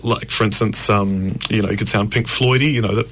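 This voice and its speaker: A man's voice: on the low side, fairly even intonation, a little fast, a bit bright. Man, thirties, radio guest, rambling storyteller, laughs a lot, quotes people. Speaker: guest musician. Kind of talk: casual radio interview.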